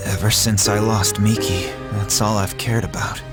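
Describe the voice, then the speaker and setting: A man's voice: on the low side, level tone, normal speed, close to an everyday voice. A man playing a tough, brooding young fighter wracked with guilt in a video game, speaking in a blunt, earnest way. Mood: bitter regret. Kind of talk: dramatic video game voice acting. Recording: loud music behind the voice. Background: music.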